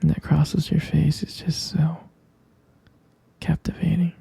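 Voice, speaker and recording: Man acting as a whispering, gravelly, adoring boyfriend. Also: fairly high pitch, little pitch movement, exaggerated voice. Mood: adoring, dreamy. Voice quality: breathy, husky whisper. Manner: slow, halting, gentle murmuring with lingering pauses. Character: adoring, tender male romantic partner, soothing and devoted. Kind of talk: intimate ASMR roleplay.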